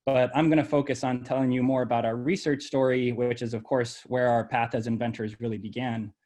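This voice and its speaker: A man's voice: mid-range voice, flat delivery, dark tone. Middle-aged man presenting his research, with frequent ums. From born in El Salvador, raised in Ohio. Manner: measured, with frequent ums and uhs. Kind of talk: slide presentation.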